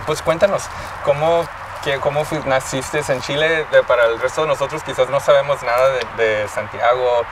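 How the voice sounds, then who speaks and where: A man in his thirties with a Mexican accent, hosting a relaxed interview and switching between English and Spanish. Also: mid-range voice, normal speed, crowd noise. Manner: relaxed, switches languages mid-sentence. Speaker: host. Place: outdoor park under a tree, city. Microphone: distant camera mic. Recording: distant mic, city traffic noise.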